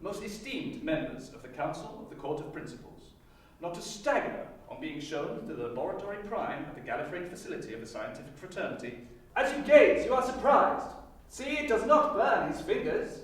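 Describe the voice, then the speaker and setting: A man's voice: sits high, sing-song, fairly quick, a bit bright. A man acting a grandiose demonstrator, theatrically addressing a council in an audition reading. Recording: echoey room, distant mic, faint noise.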